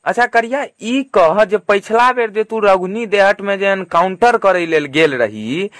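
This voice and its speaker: A man's voice: sits high, measured pace, a bit bright, no echo, clean studio sound. A man voicing a bumbling, lazy police inspector in an amateur cartoon comedy, with a Maithili accent. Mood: chummy, lazy. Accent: Maithili, from Mithila.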